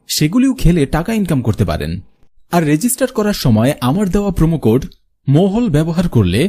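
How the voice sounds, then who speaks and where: A man's voice: on the high side, warm tone, slightly rough. A man delivering scripted narration as a storyteller and presenter.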